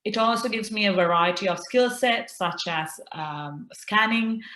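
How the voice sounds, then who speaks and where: A middle-aged woman from Sri Lanka, a consultant doctor, answering interview questions earnestly with many pauses and fillers. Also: medium pitch, a bit bright, reverberant. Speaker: consultant obstetrician and gynaecologist.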